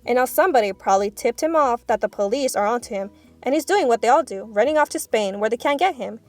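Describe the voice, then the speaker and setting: A woman voicing a gentle, composed and caring storybook schoolteacher, speaking in a soft, measured way. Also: a little high, expressive, soft background music, natural voice. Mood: matter-of-fact.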